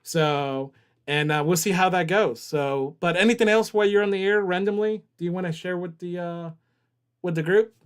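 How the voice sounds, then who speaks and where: A man's voice: high voice, moderate pace, clean tone. A man hosting a casual livestream, joking around and reading viewer chat aloud. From Southern US. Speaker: livestream host.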